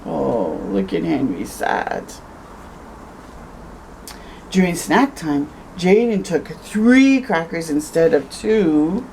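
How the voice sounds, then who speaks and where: A woman reading a picture book aloud to children as a storytime host, expressive, with conversational asides. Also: sits low, slow, vocal fry, slight background noise, low-quality recording. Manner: conversational asides, tags questions with "eh".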